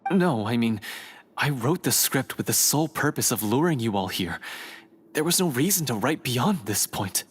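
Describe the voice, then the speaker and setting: A man's voice: mid-range voice, lively intonation, normal speed. An actor playing a guilt-ridden, self-doubting young male hunter in a video game, with an earnest, hesitant delivery. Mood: unsure. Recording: music clearly behind the voice.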